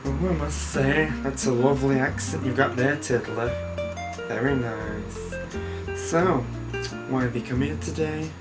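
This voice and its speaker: A man voicing a flirty woman interviewing for a job, gushing with flattery. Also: a bass voice, measured pace, loud music behind the voice, low-quality recording. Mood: fawning.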